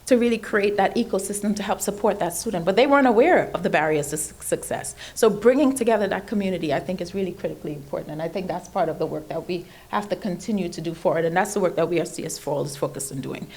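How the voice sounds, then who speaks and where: A woman from Trinidad with a Trinidadian accent, giving an animated motivational speech at an event, building emphatic lists and laughing often. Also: on the low side, fast talker, few pauses. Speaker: keynote speaker. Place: event stage.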